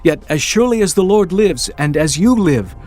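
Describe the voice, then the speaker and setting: Scared young fugitive in a bible audio drama, highish voice, urgent pleading, faint music under it. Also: male voice, expressive, moderate pace, darkish tone. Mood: urgent, anxious. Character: David, anxious young fugitive pleading his innocence. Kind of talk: dramatized scripture audio acting.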